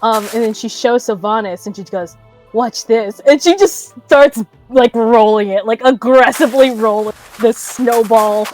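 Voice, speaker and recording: A woman's voice: on the high side, moderate pace, hardly any pauses, a little mellow, soft background music. A woman casually improvising what her character does in a tabletop roleplaying game, in a giggly, informal way.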